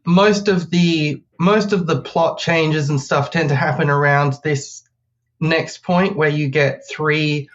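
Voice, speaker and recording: Male Australian guest, higher-set voice with slight cold hoarseness, casual delivery, dull recording. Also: steady tone, slow pace. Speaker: podcast guest. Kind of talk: casual chat.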